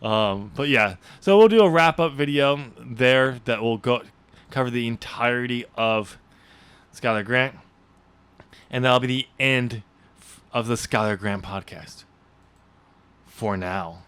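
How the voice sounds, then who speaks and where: A man's voice: medium pitch, unhurried, pauses often, fairly bright tone, clean tone. Casual, joking man hosting a podcast. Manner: joking, teasing banter. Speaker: podcast host and novelist.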